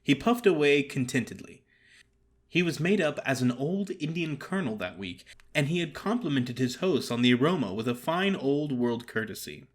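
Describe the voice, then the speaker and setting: A man narrating a story in a theatrical, formal and expressive way, as an actor performing an audio drama. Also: medium pitch, normal speed.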